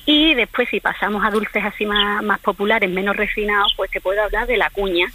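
Female radio caller from southern Spain, crisp bright tone, slightly noisy phone connection. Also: mid-range voice, brisk. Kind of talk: chatty explanation. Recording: phone line with faint noise.

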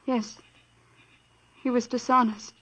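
A shy young woman in a vintage radio play, speaking in a high, soft voice. The tone is breathy and earnest, with a touch of wistfulness. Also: level tone, slow pace, full character voice. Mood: gentle. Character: shy, gentle young woman running a small-town gas station. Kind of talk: 1940s radio acting.

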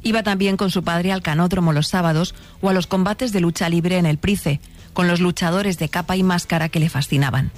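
A woman giving scripted documentary narration in a steady, measured reading. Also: on the low side.

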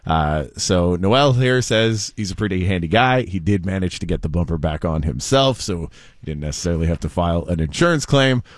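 A man's voice: sits low, animated, fairly quick, no echo, clean studio sound. A man co-hosting a radio show, chatting casually through news stories and laughing often. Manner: chatty, laughs often, reads quotes aloud.

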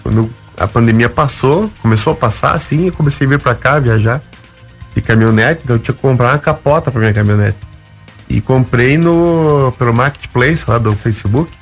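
Brief: male guest, gaúcho accent, gravelly, lively anecdotes with voiced-out dialogue. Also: mid-range voice, brisk, faint music behind. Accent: Gaúcho Brazilian Portuguese. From Rio Grande do Sul, Brazil. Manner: animated, acts out quoted dialogue. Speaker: interview guest, comedian. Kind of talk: casual storytelling.

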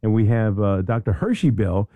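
A man's voice: on the low side, lively intonation, moderate pace, dry recording, phone-quality sound. A middle-aged man hosting a radio talk show in a conversational interview style.

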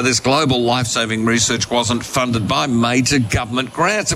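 A man's voice: medium pitch, pushed and bright. An Australian man hosting a morning talk radio show, chatty and upbeat as he interviews a guest. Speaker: radio host.

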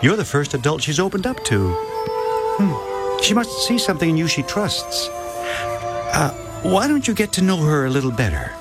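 A man's voice: a little high, huge pitch swings, moderate pace, over loud music. An actor playing a kind man who chuckles as he recounts past events in a radio drama. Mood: kindly. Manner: kindly, reminiscing, chuckling storyteller. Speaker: storyteller and friend.

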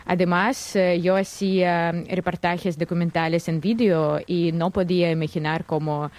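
A young Russian woman with a Russian accent, a guest journalist speaking careful, somewhat nervous Spanish with many pauses and fillers. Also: on the low side, flat delivery, darkish tone, no room sound. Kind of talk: interview answers.

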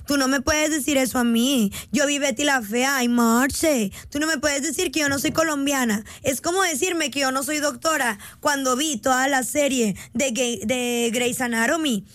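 A woman's voice: high-pitched, normal speed, few pauses, fairly bright tone, no echo. A woman with a Mexican accent hosting a casual live chat in a playful, slangy, mock-indignant way. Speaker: live stream host.

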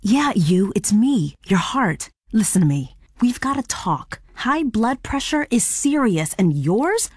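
Female voice actor, animated and conspiratorial, dry studio sound. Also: fairly high pitch, slow, hardly any pauses, slightly rough, no room sound. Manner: playful, conspiratorial. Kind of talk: scripted comedic ad.

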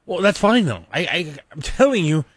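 A male radio co-host with a fairly high voice and big swings in intonation. He banters casually, pushing his point with joking insistence. The sound is dry and studio-close. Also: big pitch swings, moderate pace, no echo.

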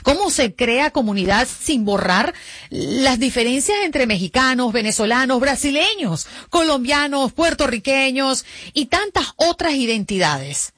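A woman hosting a radio interview, encouraging and smooth in her questions. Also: high-pitched, big pitch swings, measured pace, a bit bright, no room sound, clean studio sound. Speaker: radio host.

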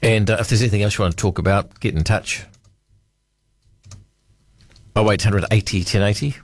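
A man's voice: fairly low pitch, fairly even intonation, fast, no echo. A man with a New Zealand accent hosting a late-night talkback radio show, chatting in a dry, offhand way. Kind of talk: casual talkback radio chat. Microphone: studio broadcast mic.

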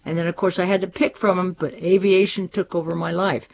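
A woman's voice: on the low side, little pitch movement, fairly quick, creaky. An older woman speaking proudly and emphatically in an archive interview. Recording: dull archive recording.

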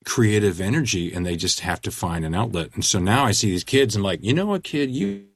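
Middle-aged man, low voice, casual rambling interview guest, dry recording. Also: animated, fairly quick, gravelly, breathy edge, no room sound. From Canada. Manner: rambling, self-deprecating, many restarts. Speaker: musician guest.

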